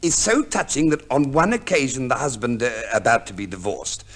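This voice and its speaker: A fussy, scheming divorce lawyer in a vintage radio play. He is pedantic and precise, stammering a little before he pronounces, and his mid-pitched voice rises and falls theatrically. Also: big pitch swings, fairly quick. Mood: businesslike, precise. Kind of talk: 1950s radio play acting.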